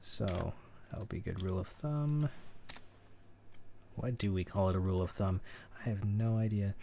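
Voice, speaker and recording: A man's voice: deep voice, moderate pace, gravelly, warm tone, a little hiss or hum. A man teaching sound editing in a casual, think-aloud tutorial, with keyboard clicks behind him. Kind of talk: screen-recorded tutorial.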